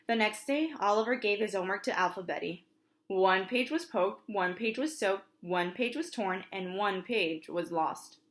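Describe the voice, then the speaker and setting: A woman's voice: mid-range voice, normal speed. A woman preschool teacher reads a storybook aloud for young children, expressively, with simple asides to her listeners.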